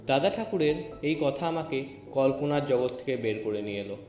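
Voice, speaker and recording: A man's voice: medium pitch, animated, normal speed, a little mellow. A man narrating a scripted first-person audio story. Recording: echoey with long reverb. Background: faint music.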